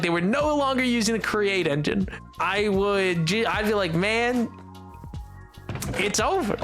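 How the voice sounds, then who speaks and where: A man's voice: sits high, a bit bright. A man streaming and chatting casually with viewers. He talks playfully, rambling with lots of fillers and restarts. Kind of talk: casual live-stream chat, answering viewers.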